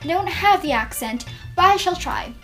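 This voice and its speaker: A woman's voice: extremely high pitch, lively intonation, moderate pace, fairly bright tone, faint music behind. A young woman from the Philippines chatting casually to camera, bubbly and gushing, with many fillers. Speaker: beauty vlogger.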